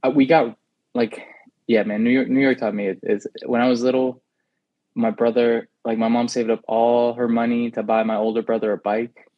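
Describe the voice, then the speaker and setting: A man's voice: medium pitch, level tone, normal speed, dry recording, highs cut off. A young adult man whose first language is Spanish, telling rambling stories with lots of "like" fillers as a podcast co-host. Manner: rambling storytelling with many fillers. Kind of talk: casual chat.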